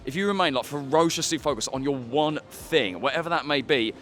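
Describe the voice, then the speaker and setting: A man's voice: on the high side, brisk, fairly bright tone, soft background music. A man in his twenties giving earnest, casual opinions as an interviewee, often saying "like". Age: 20s. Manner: earnest, peppered with filler "like". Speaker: street interviewee. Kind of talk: casual opinion talk to camera.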